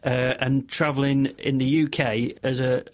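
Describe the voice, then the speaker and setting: A middle-aged man with a squeaky voice, a radio interview guest who rambles through long stories with many restarts and self-deprecating asides. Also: little pitch movement, moderate pace. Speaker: radio interview guest, motorcycle stunt show compere.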